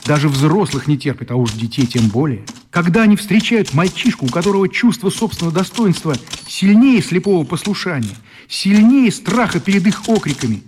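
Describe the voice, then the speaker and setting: An actor playing an impassioned journalist who reads out an article in a radio play, solemn, emphatic and declamatory. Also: male voice, high-pitched, animated, brisk, few pauses, a little mellow, slight background noise. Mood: solemn indignation. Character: impassioned journalist voicing his newspaper article. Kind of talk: dramatic reading in a radio play.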